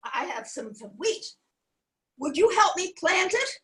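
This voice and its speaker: A woman voicing a hardworking little hen in a children's story, bright and asking for help. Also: extremely high pitch, sing-song, slow, recorded from a distance, voice pushed up, full character voice. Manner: bright, asking, self-reliant.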